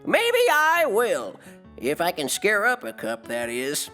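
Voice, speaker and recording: A woman's voice: lowish voice, wildly swooping pitch, takes it slowly, a bit bright, soft background music, full character voice. A woman playing a spooked, chatty, jokey ghost with a colloquial, puzzled manner in an audio drama. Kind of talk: audio drama character acting.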